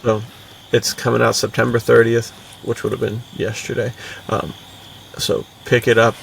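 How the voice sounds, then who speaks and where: A man with a gravelly, creaky voice, talking as a podcast co-host in a casual, enthusiastic, slangy way. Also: medium pitch, completely flat delivery, normal speed, far from the mic, slight background noise, rough recording.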